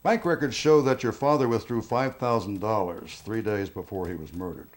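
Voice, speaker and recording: Low-voiced, insistent police detective played by a man. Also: lightly acted. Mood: matter-of-fact. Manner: measured, pressing questions. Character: probing, insistent male police detective interrogating suspects. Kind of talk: naturalistic film drama acting.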